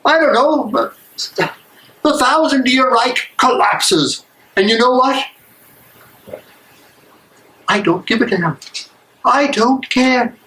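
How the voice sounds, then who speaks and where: An actor playing an anguished, disillusioned German soldier and prison guard, emotional and sarcastic, in an echoey play reading. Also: male voice, very high-pitched, slow pace, pauses often, bright, forceful tone, full character voice. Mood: bitter, angry. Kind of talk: dramatic reading of a stage play. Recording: echoey room, live reading.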